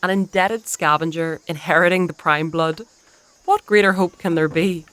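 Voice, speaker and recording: A woman's voice: lowish voice, steady tone, fairly bright tone, smooth, dry recording, lightly acted. A woman acting a composed, wryly teasing priestess. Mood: earnest, reflective. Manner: measured, articulate, playfully dry. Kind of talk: dark fantasy audio drama acting.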